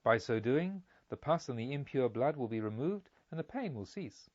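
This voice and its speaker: A man voicing a learned, sensible storybook physician who gives instructions in a measured, plain way. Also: medium pitch, expressive, no room sound, dull audio, natural voice. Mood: calm, instructive.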